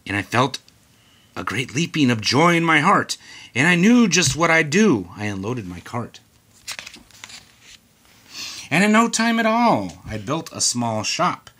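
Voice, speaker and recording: A man reads a children's rhyming storybook aloud with a very animated, swooping pitch. His voice is bright and a bit husky. He leans on dramatic pauses and emphasis. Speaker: storybook reader.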